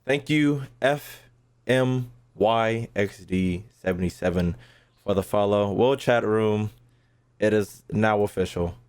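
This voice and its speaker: A man giving casual livestream gameplay commentary, excitable, slangy and full of swearing. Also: on the low side, slow pace. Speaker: game streamer. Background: game music.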